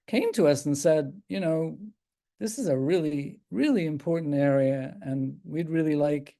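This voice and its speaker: Soft-toned older Irish man. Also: on the high side. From Ireland. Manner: thoughtful, halting with many restarts and soft laughs. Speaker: guest speaker, geriatric psychiatrist. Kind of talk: casual talk on a video call. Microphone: video call.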